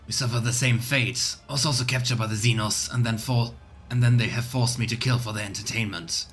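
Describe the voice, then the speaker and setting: A man's voice: medium pitch, steady tone, a little fast, a bit bright. Male game streamer reading aloud over music. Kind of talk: let's play narration, reading dialogue aloud.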